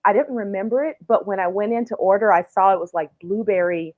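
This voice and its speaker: A woman guest, an author and actor, chatting playfully and excitedly over a video call. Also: mid-range voice, fast, narrow, tinny audio. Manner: excitable, playful, laughs easily. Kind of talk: casual chat.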